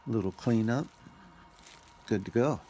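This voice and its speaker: A man giving casual, unscripted unboxing commentary as a vlogger, speaking in short clipped remarks with pauses. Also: fairly low pitch, dark tone, a little hiss or hum.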